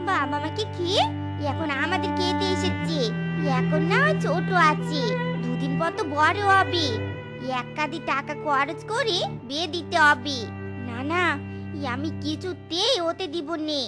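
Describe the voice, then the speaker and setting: A woman voicing a cruel, scheming, sharp-tongued aunt in a cartoon tale, with dramatic scolding delivery. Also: high voice, unhurried, loud music behind the voice. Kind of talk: exaggerated cartoon voice acting.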